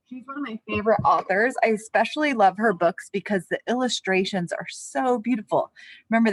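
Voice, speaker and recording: A woman's voice: medium pitch, no room sound, rough recording. A woman with a mid-range voice talks as a teacher of young children. She keeps her wording simple, as in a lesson or storybook read-aloud. The recording is dry, with little room sound. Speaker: elementary physical education teacher.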